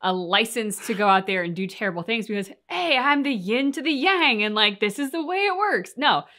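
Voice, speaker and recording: A woman's voice: a little high, lively intonation, brisk, pushed and bright. A woman co-hosting a reaction show, talking casually and reflectively about a game's story, explaining her thoughts at length. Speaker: reaction channel co-host. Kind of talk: casual discussion of a video game story.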